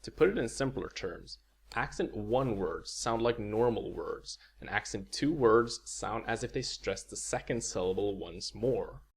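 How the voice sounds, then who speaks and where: A man delivers a scripted video lecture in a low, slightly husky voice. He explains step by step in a clear, measured way. He pauses to say example words for the listener to repeat. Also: expressive. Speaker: language teacher.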